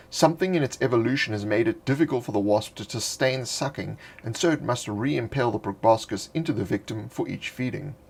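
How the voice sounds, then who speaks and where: A man's voice: medium pitch, husky. A man reading a fantasy monster entry from a tabletop game book in a dry, playful storytelling manner with wry asides. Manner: louder and more excited in the closing address. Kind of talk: scripted narration of a fantasy bestiary entry.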